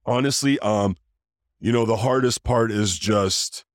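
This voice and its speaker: A man who hosts a podcast, talking casually in a deep voice, rambling with fillers and restarts. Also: unhurried, no room sound, clean studio sound. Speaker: podcast host. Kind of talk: casual interview chat.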